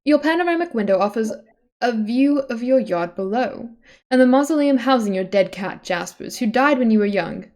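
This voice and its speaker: A woman with a fairly high voice reads aloud as a streamer. Her delivery is informal, slipping between narration and chatty comments, and she corrects herself as she goes. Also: lively intonation, normal speed, darkish tone. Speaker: streamer reading a webcomic aloud. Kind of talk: casual livestream read-aloud with asides.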